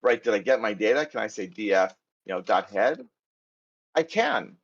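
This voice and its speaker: A middle-aged American man with a husky, fairly low voice runs a live coding lesson. He talks in a chatty, self-deprecating way and thinks aloud while typing. The sound is muffled, lo-fi call audio. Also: expressive, moderate pace. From United States. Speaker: programming instructor.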